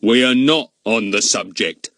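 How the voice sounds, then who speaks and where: A man voicing a pompous, slow-witted middle-aged village policeman who blusters and is easily flustered. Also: lowish voice, vocal fry, fairly bright tone, dry recording, studio quality. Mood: blustering. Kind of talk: audiobook character voice.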